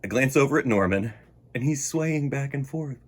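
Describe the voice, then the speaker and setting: A storybook boy played by a man tells his own tale with wide, swooping expression. The voice is husky and mid-pitched, with dramatic pauses that suit a children's read-aloud. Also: expressive. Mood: matter-of-fact. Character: young boy narrator, wary then fond of his pet fish.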